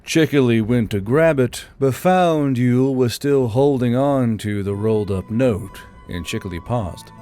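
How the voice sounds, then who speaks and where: A man's voice: mid-range voice, unhurried, hardly any pauses, warm tone. A man reading scripted audiobook fiction as its narrator. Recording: music under the voice.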